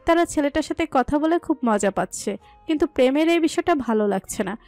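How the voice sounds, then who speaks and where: High-pitched woman narrating a story. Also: lively intonation, brisk, dark tone, no echo, faint music behind. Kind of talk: scripted romantic story narration.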